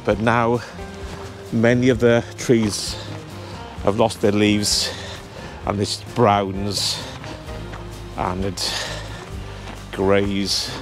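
A man's voice: on the low side, flat delivery, very slow, long pauses, music in the background. A man in his fifties chatting to a handheld camera as he walks outdoors on a cold hillside, talking straight to his viewers. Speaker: travel vlogger. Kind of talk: casual vlog walk-and-talk. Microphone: handheld camera at arm's length.

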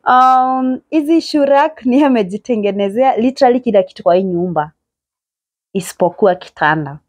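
A woman's voice: on the high side, lively intonation, normal speed, a little mellow, no room sound. A young woman with a Kenyan accent gives a chatty, admiring tour of a small room, recorded on a handheld phone. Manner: chatty and admiring, mixing English with Swahili slang. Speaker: vlogger giving a house tour. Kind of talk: casual house-tour narration. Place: small indoor bedsitter room.

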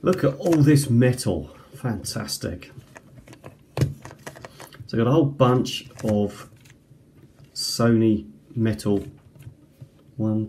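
Low-voiced man, casual, noisy home recording. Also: mellow. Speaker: amateur cassette tape reviewer. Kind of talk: casual unscripted hands-on review. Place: indoors at a kitchen table. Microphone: tablet's built-in mic at close range.

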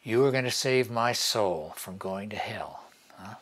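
A man playing a bitter, sardonic convict awaiting execution in a dramatic monologue, taunting and hushed, with long pauses. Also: fairly low pitch, animated. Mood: bitter, questioning.

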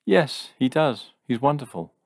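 A man voicing a calm, gently reproving young woman with teasing wit in an audiobook, soft-spoken and matter-of-fact. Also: medium pitch, unhurried, creaky, dry recording, clean studio sound, pitched above the performer's own voice, exaggerated voice. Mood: gentle, frank.

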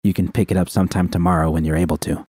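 Guy reading a practical woman friend, calm and matter-of-fact, low warm voice. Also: rapid-fire, husky, dry recording, studio quality.

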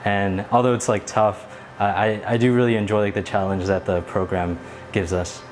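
Earnest young man, lowish voice. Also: flat delivery, moderate pace, a little hiss or hum. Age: young adult. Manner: earnest, loose with fillers. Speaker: student. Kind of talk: interview answers. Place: university lab, indoors.

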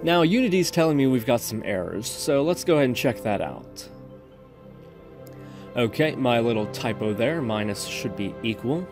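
A man with a medium-pitched voice narrates a screen-recorded tutorial. He talks through each step informally, like a chatty host explaining his own work. Music plays clearly behind his voice. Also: lively intonation, normal speed.

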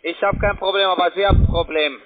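A man's voice: sits high, steady tone, fast, phone-quality sound. A man, a call-center agent, arguing angrily and insultingly on the phone. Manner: hostile, insulting. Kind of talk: angry phone argument.

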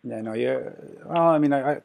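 A man's voice: mid-range voice, fairly quick, vocal fry, darkish tone. A man interviewing a musician, chatty, leading his questions and repeating words as he talks. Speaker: interviewer, rock journalist. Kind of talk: casual interview.

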